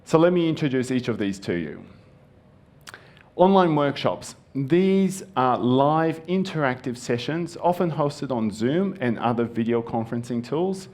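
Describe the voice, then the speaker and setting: A man's voice: medium pitch, lively intonation, unhurried, darkish tone. A young man, a native Japanese speaker raised in Japan, giving an earnest, friendly conference presentation with frequent ums. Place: conference hall.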